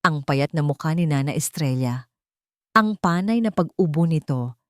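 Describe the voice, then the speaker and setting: A woman narrates a dramatic story in a lowish voice. Her pitch moves widely as she reads, and the sound is close and dry. The delivery is scripted and expressive. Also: moderate pace, no room sound.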